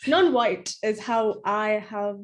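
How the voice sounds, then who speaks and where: A woman co-hosting a casual discussion podcast, animated and quick to laugh. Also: mid-range voice.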